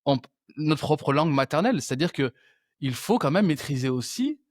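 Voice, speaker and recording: A man's voice: fairly high pitch, very animated, moderate pace, darkish tone, no room sound, studio quality. A man hosting a conversational interview, thinking aloud and circling back with frequent restarts. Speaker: podcast host.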